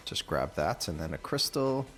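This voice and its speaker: A man's voice: low voice, sing-song, moderate pace, fairly bright tone. A man giving casual gameplay commentary, thinking aloud and rambling as he plays, with game music behind him. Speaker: gaming video host.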